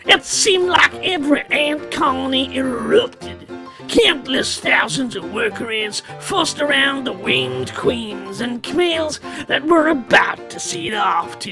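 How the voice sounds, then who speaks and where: A woman reading a short story aloud in a dramatic, deliberate storytelling manner. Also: sits high. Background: music.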